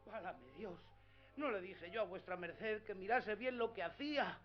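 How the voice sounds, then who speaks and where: A simple, earthy squire, loyal but plaintive. His voice sits fairly high and sounds homely and deferential. It is a muffled radio drama recording with faint music underneath. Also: animated, normal speed. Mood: alarmed, scolding. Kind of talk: radio theatre acting.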